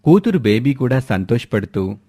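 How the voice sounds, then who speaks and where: A man's voice: mid-range voice, big pitch swings, normal speed, dark tone, slightly rough. Man narrating a moral story.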